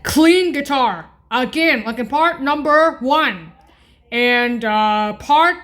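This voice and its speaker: A woman giving an informal music tutorial in a halting, repetitive way, correcting herself as she goes. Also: high-pitched, a bit bright. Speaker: music practice video host. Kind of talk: informal spoken tutorial naming keyboard voice effects.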